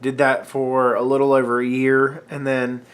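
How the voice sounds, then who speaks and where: A young man talks straight to his camera at home under a ring light, rambling and correcting himself mid-sentence. He's coming down with a cold and keeps clearing his throat, and his pitch sits right in the middle for a man. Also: monotone, normal speed. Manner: rambling, filler-heavy, self-interrupting. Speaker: vlogger. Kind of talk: casual unedited vlog chat. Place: room at home. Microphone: camera mic, facing camera under ring light.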